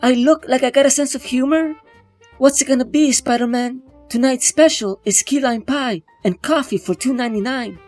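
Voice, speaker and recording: A woman acts out a late-night diner waitress in a motion comic. She is brusque and world-weary, with a high voice and a wry, colloquial way of talking. Music plays faintly behind her. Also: normal speed, darkish tone. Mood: brusque, demanding. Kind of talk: scripted comic-book drama dialogue.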